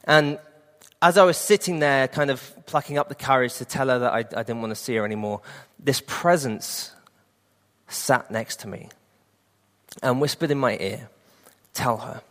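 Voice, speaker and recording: A man's voice: medium pitch, moderate pace, a bit bright, big-room sound. A man in his late twenties preaching a sermon and sharing an earnest personal testimony. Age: 20s.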